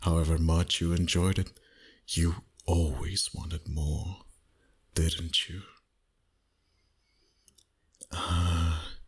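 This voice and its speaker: A man voicing a dominant, flirty vampire boss in an intimate ASMR roleplay, teasing slowly in a husky voice that often drops to a whisper. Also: sits low, darkish tone, exaggerated voice. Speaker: voice actor. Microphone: close microphone.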